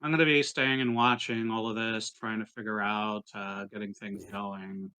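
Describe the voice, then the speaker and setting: A man playing a tabletop game, chatting casually and explaining things in a halting, nerdy, technical way. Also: on the low side, flat delivery, pushed and bright, smooth. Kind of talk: casual chat.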